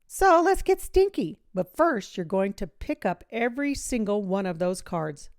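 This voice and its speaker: A woman reading a children's story, voicing an energetic, no-nonsense woman coach with an emphatic, driving delivery. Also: mid-range voice, unhurried, dry recording, studio quality. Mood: firm, rallying. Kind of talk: children's audiobook character voice.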